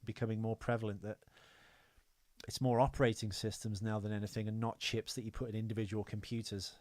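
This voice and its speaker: A man's voice: lowish voice, little pitch movement, fast, a little mellow, dry recording. A man co-hosting a podcast, casually discussing films. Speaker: podcast co-host.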